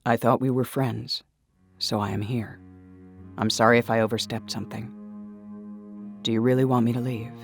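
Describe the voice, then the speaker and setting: Narrator as a worried, gravelly male friend. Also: medium pitch, little pitch movement, normal speed, long pauses, faint music behind, lightly acted. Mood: hurt, concerned. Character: kind, worried male friend, hurt and pleading. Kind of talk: dramatic audio story acting.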